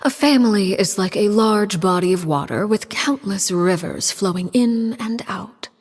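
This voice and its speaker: An actress playing a poised, cold female diplomat and matriarch in a video game, speaking calmly and precisely. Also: medium pitch, normal speed, breathy edge, in a large hall. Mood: measured. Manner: calm, precise, quietly commanding.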